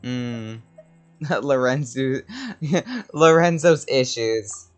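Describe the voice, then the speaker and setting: A man's voice: mid-range voice, extremely slow. A young man giving informal, sweary live reaction commentary as he plays a game. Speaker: gameplay streamer. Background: game music.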